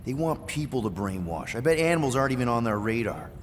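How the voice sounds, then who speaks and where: Scrappy space-crew captain, man acting, mid-pitched, quippy and persuasive, video game scene with faint ambient noise. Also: sing-song, quick pace, lightly acted.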